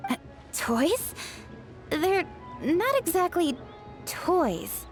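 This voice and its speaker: A woman playing a poised, earnest young noblewoman in a video game, speaking in an articulate, measured way. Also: very high-pitched, very animated, exaggerated voice. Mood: taken aback. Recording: music behind the voice.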